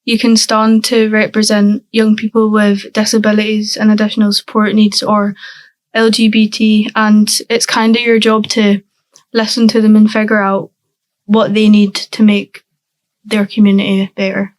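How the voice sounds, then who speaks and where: A woman's voice: mid-range voice, monotone, moderate pace, no room sound. A teenage girl, a youth councillor answering interview questions hesitantly, with many ums. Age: teens.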